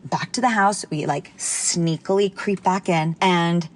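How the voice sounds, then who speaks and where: A young woman casually telling a personal anecdote, animated and chatty with lots of fillers. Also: a little slow. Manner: animated, jumps high when quoting people. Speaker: storyteller.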